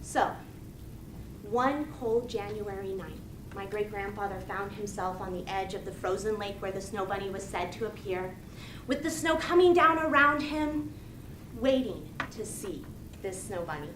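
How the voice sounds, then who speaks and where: A woman giving a rehearsed storytelling speech to an audience, with theatrical pauses and repetition. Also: medium pitch. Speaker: speech contestant. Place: indoor meeting room. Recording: echoey room, distant mic, faint noise.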